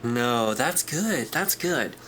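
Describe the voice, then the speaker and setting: A man's voice: medium pitch, lively intonation, slow pace, sharp tone, slight background noise. A man acting an easygoing corporate interviewer in a sci-fi audio drama, informal, tactful and reassuring. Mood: agreeable. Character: smooth, easygoing corporate interviewer at aerospace company.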